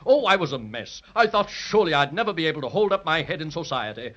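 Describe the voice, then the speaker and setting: An actor playing a pompous, good-hearted patchwork dragon in a grandiloquent 1930s children's radio serial style. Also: male voice, a little high, big pitch swings, fast talker, a bit bright, highs cut off. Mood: proud, boastful. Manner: grandiloquent, theatrical. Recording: vintage 1930s radio recording.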